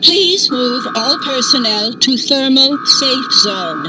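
A woman's voice: high-pitched, huge pitch swings, takes it slowly, vocal fry, over music, exaggerated voice, rough recording. A woman playing a polite, unflappable computer in a radio drama, making formal robotic announcements. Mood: neutral, formal. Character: polite female computer of a vigilante's vault, unflappable.

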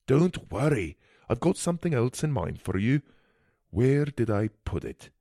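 A man's voice: mid-range voice, sing-song, unhurried, vocal fry, dark tone, dry recording, studio quality, exaggerated voice. A man voicing a proud, brusque older wizard who talks bluntly and scoffs in a storybook reading.

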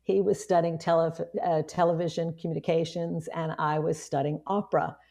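A woman in her fifties with a hoarse voice, a guest chatting casually in an interview, rambling with many restarts. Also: deep voice, flat delivery, moderate pace, warm tone. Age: 50s. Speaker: guest, online marketing strategist.

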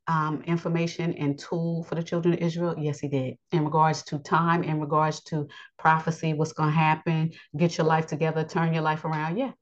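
A woman from the United States giving an unscripted Bible lesson, earnest and repetitive with frequent ums. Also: deep voice. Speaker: Bible teacher. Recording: dull lo-fi audio.

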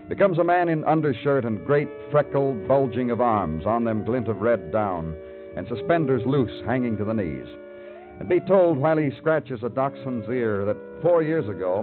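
An actor playing a world-weary New York police detective in a vintage radio crime drama, speaking in a brooding, clipped and lyrical way. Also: male voice, lowish voice. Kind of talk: 1950s radio noir acting and narration. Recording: dull old radio recording with music.

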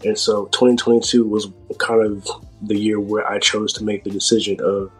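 An American man with a fairly deep, raspy voice gives a casual interview. His delivery is halting, full of pauses and searching for words. Faint music sits behind him. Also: flat delivery, poor audio. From Georgia, United States. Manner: halting, filler-heavy delivery with self-described speech impediment. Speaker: podcast guest, hip hop artist.

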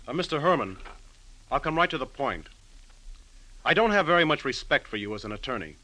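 Blunt stern lawyer man in old radio show, forceful tone, dry close sound. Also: mid-range voice, big pitch swings, brisk, dry recording, low-quality recording. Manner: blunt, firm, clipped. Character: stern, blunt male assistant district attorney in love. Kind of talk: old-time radio acting.

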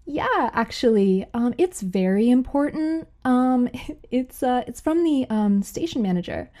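A giggly, sheepish partygoer. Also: female voice, a little high, lively intonation, measured pace, mellow. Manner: giggly, hesitant, lots of ums. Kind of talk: audio drama acting.

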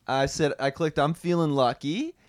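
Smooth-voiced laid-back comedy guest. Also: male voice, a little high, moderate pace, dry recording, studio quality. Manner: laid-back, drawling, peppered with "dude". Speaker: podcast guest in character. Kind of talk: improvised comedy character chat.

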